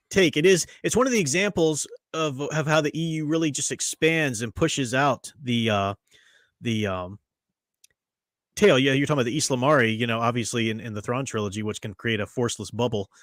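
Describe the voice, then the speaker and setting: A man's voice: mid-range voice, brisk, dry recording, clean studio sound. A man hosting a casual podcast discussion, rambling with frequent restarts and fillers. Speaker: podcast host.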